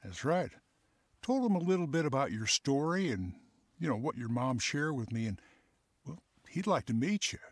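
A man's voice: mid-range voice, very animated, normal speed, no echo. Fatherly counselor, earnest, preachy, dry close sound. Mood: friendly. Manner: earnest, teaching. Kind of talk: radio drama acting.

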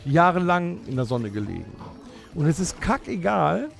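Gravelly know-it-all man. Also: on the high side, sing-song, moderate pace, soft background music. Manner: know-it-all lecturing, bossy. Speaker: podcast host. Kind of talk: improvised comedic audio play.

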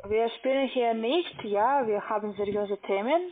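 Casting: woman, non-native with foreign accent, halting phone sales agent, thin telephone sound. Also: fairly high pitch, crowd noise. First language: not German. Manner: halting, searching for words. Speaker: call-center investment agent. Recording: tinny narrow phone line.

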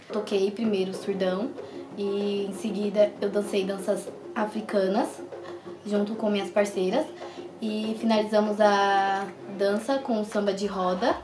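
A woman's voice: medium pitch, noisy background. A teenage Brazilian girl, a dance group member, narrating a recap. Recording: echoey room with music behind.

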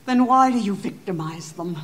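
A woman performs a principled lady in a gothic audio drama. She has a medium-pitched voice and speaks as one who challenges and stands her ground. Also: animated, moderate pace, lightly acted. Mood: firm reproach.

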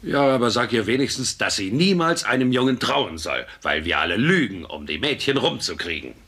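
An actor playing a jovial, blustery working-class father with a gravelly voice in a dubbed sitcom. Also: mid-range voice, very animated, fairly quick, a little hiss or hum. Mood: earnest, joking. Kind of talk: German sitcom dubbing. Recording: TV soundtrack with loud audience.

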